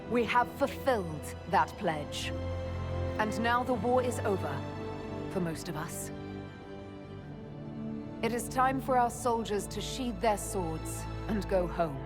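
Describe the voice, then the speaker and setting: Resolute military leader, video game drama, medium pitch, direct, earnest, clear music behind. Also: female voice, expressive, takes it slowly, long pauses.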